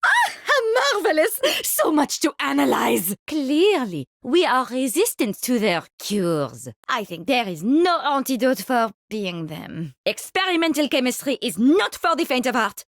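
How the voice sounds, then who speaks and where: A woman's voice: sits high, big pitch swings, a little slow, few pauses, a bit bright, no room sound, studio quality, exaggerated voice. An actress playing a playful, morbid woman doctor in a video game, theatrical and gleefully clinical. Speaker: game character voice.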